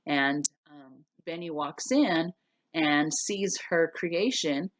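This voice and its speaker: A woman's voice: deep voice, slow, dry recording. A woman teacher talking through and reading a class novel aloud for students, explaining things simply. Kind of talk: casual spoken recap and story read-aloud for students.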